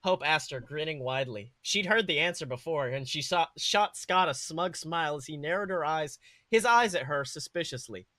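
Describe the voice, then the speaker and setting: A man narrating a story aloud as a podcast host, animated and sometimes stumbling over the text. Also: fairly high pitch, normal speed, few pauses, dry recording. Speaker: podcast host reading fan fiction.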